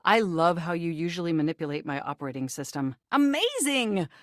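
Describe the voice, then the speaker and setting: A woman's voice: on the low side, sing-song, a little fast, dry recording, clean studio sound. A woman voicing an earnest, lovestruck, anxious desktop computer in a dating game, with formal, wordy, precise diction. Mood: smitten, gushing. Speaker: video game character voice actor. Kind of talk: scripted dating game dialogue.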